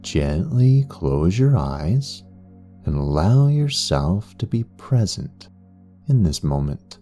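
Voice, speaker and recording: Male meditation guide: deep, extremely slow and soothing, with long pauses between phrases. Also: sing-song, mellow. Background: background music.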